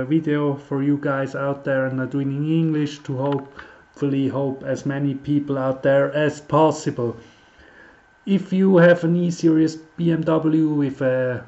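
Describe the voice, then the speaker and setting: A man's voice: on the high side, darkish tone, muffled recording. Man, casual car tutorial. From Switzerland. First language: German. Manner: halting and informal, many fillers and restarts, non-native phrasing. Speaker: YouTube car tutorial host. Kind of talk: casual tutorial talk to camera.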